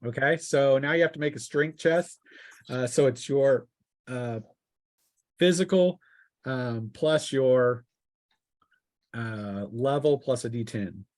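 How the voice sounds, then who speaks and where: A man working as game master, narrating and refereeing a tabletop role-playing game in a halting way full of fillers. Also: mid-range voice, darkish tone.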